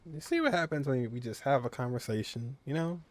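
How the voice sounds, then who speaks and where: A man's voice: medium pitch, animated, a little fast, a little hiss or hum. A man casually commenting on a game as he plays, with laid-back joking asides. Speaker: streamer playing a game.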